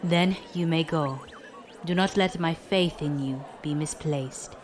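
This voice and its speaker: A woman plays a stern, dignified admiral in a space audio drama. Her speech is formal and measured, every phrase fully spelled out with commanding poise. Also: low voice, a little hiss or hum. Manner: formal, measured, avoids contractions. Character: stern, dignified, loyal female fleet admiral.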